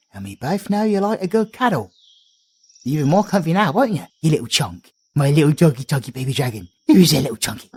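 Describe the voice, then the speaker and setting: A man's voice: on the high side, slightly rough. A British man voicing a cheerful, chatty male bard in an audio roleplay, doting and slangy as he talks.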